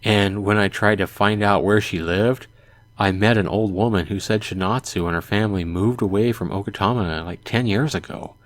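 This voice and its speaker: A man's voice: lowish voice, fairly even intonation, moderate pace, lightly acted. A man playing an earnest, self-doubting teenage boy in a visual novel, speaking hesitantly. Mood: earnest, urgent. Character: earnest, self-doubting teenage son telling his story.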